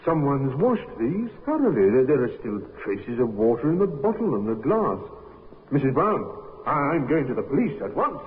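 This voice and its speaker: An actor playing a grave, alarmed village doctor in a 1950s radio drama. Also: male voice, medium pitch, very animated, normal speed, natural voice. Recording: muffled, noisy 1950s radio recording.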